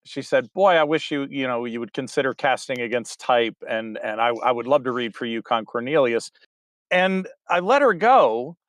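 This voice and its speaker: A man's voice: on the high side, a bit bright, no room sound. A man, a theater director, chatting as an interview guest, wandering through his answers with lots of fillers and restarts. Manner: rambling, many fillers and restarts. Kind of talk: casual interview chat.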